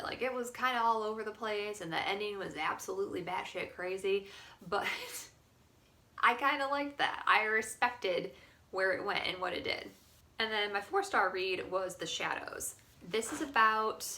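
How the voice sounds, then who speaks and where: Bright, blunt young American woman. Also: fairly low pitch. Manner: blunt, opinionated, swears freely, emphatic. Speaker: book reviewer vlogger. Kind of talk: casual chat, monthly reading wrap-up. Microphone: phone camera.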